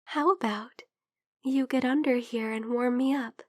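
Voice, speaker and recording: A woman playing a caring young female vampire who is a little sick, in an intimate, gentle, hesitant ASMR roleplay that slips into whispers. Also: fairly high pitch, fairly even intonation, unhurried, dry recording, studio quality, natural voice. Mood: teasing, playful. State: slightly under the weather.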